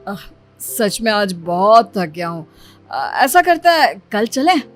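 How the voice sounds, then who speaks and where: A woman's voice: mid-range voice, sing-song, slow. Woman voicing an insecure, grumbling young husband. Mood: weary. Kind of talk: Hindi cartoon story voice acting. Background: music.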